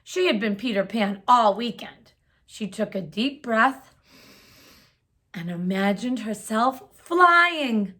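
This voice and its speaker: A woman reading a children's picture book aloud in a gentle, expressive storytelling manner. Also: on the high side, slow pace.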